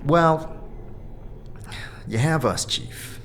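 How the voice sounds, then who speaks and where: A man's voice: a little high, extremely slow, fairly bright tone, slight background noise, pitched above the performer's own voice. Man voicing a wisecracking, sarcastic talking skull. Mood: sheepish. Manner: stammering, sarcastic. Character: wisecracking floating talking skull, anxious complainer, male.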